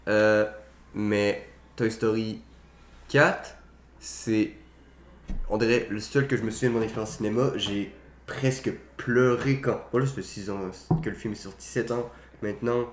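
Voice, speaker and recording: Low-voiced young man, roomy sound. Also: fairly even intonation, unhurried. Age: young adult. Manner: opinionated, slangy, mild swearing. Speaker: podcast co-host. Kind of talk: casual film chat. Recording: roomy echo, faint background noise.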